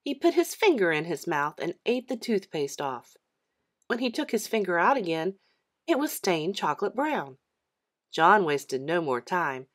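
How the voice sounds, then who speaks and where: A woman's voice: lowish voice, big pitch swings, unhurried. A woman narrating a children's book as a storyteller reading aloud.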